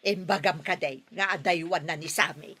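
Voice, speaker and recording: A woman's voice: lowish voice, normal speed, a bit bright, breathy edge. A woman playing a domineering, scornful middle-aged mother in a radio drama, scolding and haughty with a Filipino accent. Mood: mocking. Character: domineering, scornful mother who rejects her son's girlfriend. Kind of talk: Ilocano radio drama acting.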